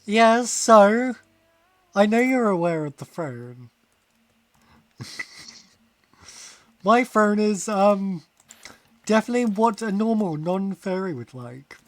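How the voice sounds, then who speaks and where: Slow, giggly young-sounding male streamer. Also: high voice, big pitch swings, dry recording. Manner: rambling, giggly, reads chat aloud. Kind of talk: casual live-stream chat while gaming.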